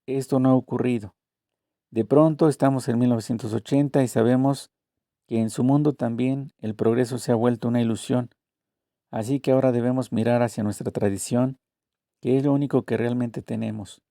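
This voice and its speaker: A man narrates a translated interview, taking both the interviewer's and the author's parts. His voice is very dark and mellow, and his delivery is measured and deliberate. The sound is dry and close, with no room echo. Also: medium pitch, fairly even intonation.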